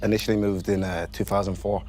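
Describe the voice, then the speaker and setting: A man's voice: on the low side, flat delivery, quick pace, darkish tone, soft background music. A British man in a game show, answering hesitantly and laughing at himself.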